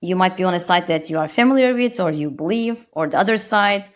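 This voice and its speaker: A woman's voice: lowish voice, a little fast. A woman in her thirties from Turkey with a Turkish accent, a guest on a podcast interview, speaking reflectively and modestly with frequent restarts.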